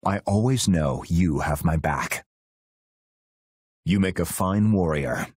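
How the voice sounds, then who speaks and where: A man voicing a stoic, loyal royal guardian in a video game, husky, solemn, terse and formal. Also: sits low, lively intonation, slow, a little mellow, no room sound, studio quality, exaggerated voice. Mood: sincere, respectful. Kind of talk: video game battle voice lines.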